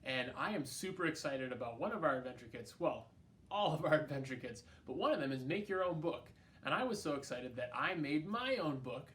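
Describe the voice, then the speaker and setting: A man's voice: on the high side, a little hiss or hum. A man hosting a children's storytime, reading a picture book aloud in a playful, exaggerated way and speaking directly to his young listeners. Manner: playful, exaggerated, expressive delivery; addresses young listeners directly with questions and prompts. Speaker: children's storytime host at a library. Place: library.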